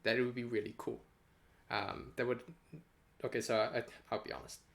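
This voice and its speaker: A man's voice: mid-range voice, steady tone, normal speed, vocal fry. A man chatting casually and unscripted on a game development livestream, rambling as he thinks aloud and swearing freely.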